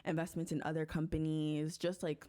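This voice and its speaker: A woman who is a podcast guest, chatting in a relaxed, agreeable way full of fillers. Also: sits low, normal speed. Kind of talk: casual chat.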